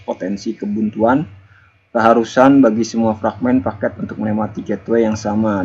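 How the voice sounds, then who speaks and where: A man with a fairly low, husky voice gives an informal academic presentation. He explains in a halting way, moving between reading aloud and casual restatement. The recording is a little dull and lo-fi. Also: mellow. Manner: halting, explanatory, repeats phrases and adds informal asides. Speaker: presenter reviewing a research article.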